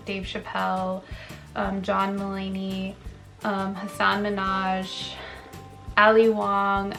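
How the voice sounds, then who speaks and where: A woman's voice: mid-range voice, a bit bright. Young woman vlogging, lots of likes and ums, medium voice, faint music behind. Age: 20s. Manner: chatty, frequent "like" and "um" fillers. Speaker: lifestyle vlogger. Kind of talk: casual chat to camera.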